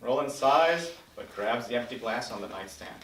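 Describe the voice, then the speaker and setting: A man's voice: medium pitch, lively intonation, moderate pace. A man reading stage directions as scripted narration at a table read. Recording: roomy, distant mic.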